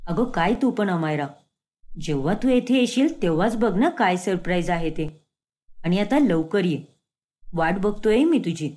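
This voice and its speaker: A woman voicing a sneaky young husband in a cartoon story, pitching her voice low. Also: animated, unhurried, a little mellow. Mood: teasing. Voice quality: lowered voice.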